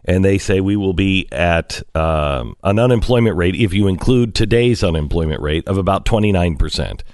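Lively low-voiced radio host in his fifties. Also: normal speed, no echo, clean studio sound. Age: 50s. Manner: wry, questioning. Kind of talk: talk radio discussion. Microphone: studio microphone.

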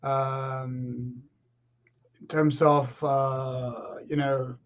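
A man, a guest on a remote interview who talks in a relaxed, reflective way with many fillers and restarts. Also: mid-range voice, extremely slow. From Argentina. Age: 30s. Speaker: guest, professional rugby player. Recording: slightly dull lo-fi call audio.

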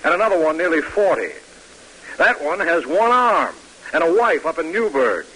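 A young army lieutenant in an old radio play. He is deferential and frank, his husky voice moving animatedly up and down. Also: on the high side, very animated, normal speed, fairly bright tone, full character voice, rough recording. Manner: respectful, apologetic, frank. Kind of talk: old-time radio drama acting.

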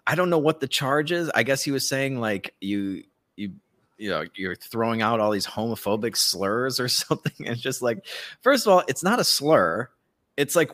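A man's voice: fairly low pitch, a bit bright. A man co-hosting a podcast in casual comedic banter, irreverent, profane and rambling. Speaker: podcast co-host.

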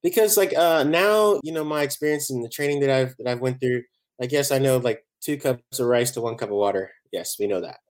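A man from Barbados, chatting casually as a chef guest in a relaxed, rambling way. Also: mid-range voice, a little fast. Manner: relaxed, rambling, many "you know" fillers. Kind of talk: casual interview chat.

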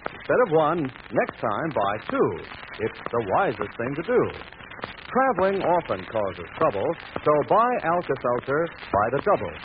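Lively American male announcer on tinny old radio. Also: fairly high pitch, normal speed. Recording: noisy tinny vintage radio broadcast.

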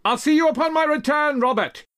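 Man playing a fiery high-voiced young colonel. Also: brisk, a bit bright. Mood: urgent. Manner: emphatic, vehement. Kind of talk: dramatised historical audiobook dialogue.

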